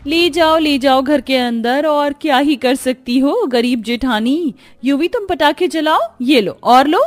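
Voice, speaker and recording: A woman's voice: high-pitched, unhurried, no room sound, voice pushed up. A woman voicing a haughty, mocking rich young sister-in-law in a cartoon family drama, boastful and sneering. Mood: gloating. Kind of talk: Hindi animated family melodrama.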